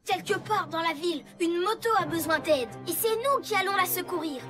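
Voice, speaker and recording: Excitable little boy hero motorbike, super high cartoon voice, loud music under it. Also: brisk. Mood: thrilled. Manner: eager, exclamatory. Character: excitable heroic young boy rescue motorbike. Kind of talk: French children's cartoon dubbing.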